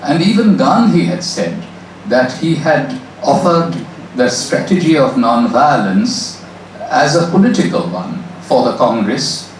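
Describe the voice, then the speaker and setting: A male professor giving a measured, emphatic academic answer to an audience in a lecture room. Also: medium pitch, animated. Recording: echoey hall, distant mic, faint noise.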